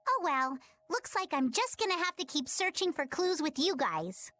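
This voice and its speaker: A woman's voice: sits high, sing-song, normal speed, a bit bright. A woman voicing a plucky young treasure-hunting girl in an anime video game dub. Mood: determined. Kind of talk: English-dubbed anime video game acting.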